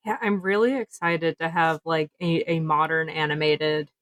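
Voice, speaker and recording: A woman's voice: deep voice, steady tone, moderate pace, fairly bright tone, dry recording. Candid woman podcaster, dry close sound. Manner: informal, candid, sweary. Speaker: podcast host. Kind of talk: casual chat.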